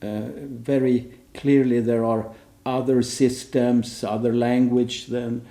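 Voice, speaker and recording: A man with a very mellow, dark voice speaks on a conference panel. He hesitates and restarts often while searching for words, and a roomy hall echo surrounds him. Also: medium pitch, little pitch movement, takes it slowly.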